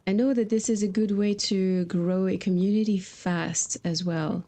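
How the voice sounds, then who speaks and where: Chatty lady streamer, relaxed warm voice, just talking casually while gaming. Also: mid-range voice, moderate pace. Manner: chatty, informal. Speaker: variety game streamer. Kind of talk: casual livestream chat while playing.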